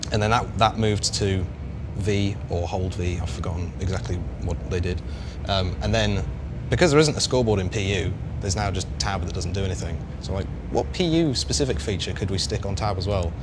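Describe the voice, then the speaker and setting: Casting: low-pitched male developer, informal and tentative explainer, noticeable vehicle ambience behind him. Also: background noise. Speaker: game developer guest answering questions. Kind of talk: casual explanatory talk.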